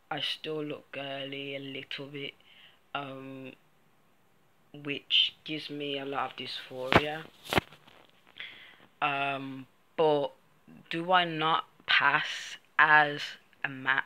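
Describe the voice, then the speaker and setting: Young man, slow unhurried delivery, hesitant and frank, lots of ums, casual vlog. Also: fairly high pitch, bright, forceful tone. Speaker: vlogger. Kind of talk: casual personal vlog talk.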